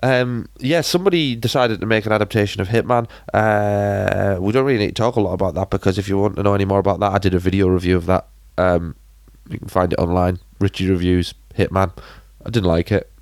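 A man's voice: low voice, steady tone, fast talker, few pauses, a little mellow, no room sound. A British man co-hosting a podcast, chatting casually about films in an informal, rambling and blunt way. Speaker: podcast co-host and film reviewer. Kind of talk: casual film chat.

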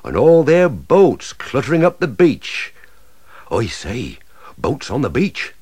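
A man's voice: mid-range voice, sing-song, slow pace, darkish tone, slightly rough, no room sound, full character voice. A pompous old English oak tree grumbles and blusters, as a man puts him on, his voice leaping wildly between lofty pronouncements and panicked yelps. The storybook character sounds close and dry, with no room around him. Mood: grumbling.

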